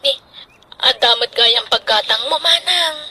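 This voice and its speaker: A hard-up Ilocano mother in a radio drama. Her voice is very high and bright. She is timid and apologetic, hesitating as she pleads. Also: a little slow, a little hiss or hum. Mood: reluctant, uneasy. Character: timid, hard-up mother asking to borrow money.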